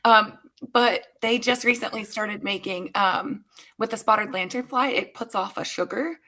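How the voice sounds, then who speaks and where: A woman co-hosting a podcast, chatting in a relaxed way and thinking aloud with fillers. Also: mid-range voice, normal speed. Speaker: podcast co-host. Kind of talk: casual conversation.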